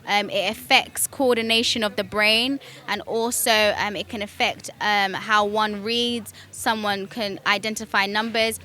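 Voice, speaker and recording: A woman's voice: mid-range voice, steady tone, a little slow, few pauses, sharp tone, a little hiss or hum. A young woman from Kenya with a British accent, chatting as an interview guest and telling her life story with many ums and easy laughs. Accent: British English.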